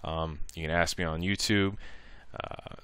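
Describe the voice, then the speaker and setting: A man's voice: low-pitched, steady tone, measured pace, a bit bright, clean tone, no room sound. A man presenting a modding tutorial as a casual screen-recorded walkthrough, explaining each step in an informal, offhand way.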